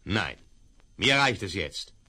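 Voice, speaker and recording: A man's voice: sits low, very animated, takes it slowly, pushed and bright, no echo, exaggerated voice. An actor playing a tired, reluctant male safecracker in a 1970s radio play. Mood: weary. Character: weary male safecracker accomplice.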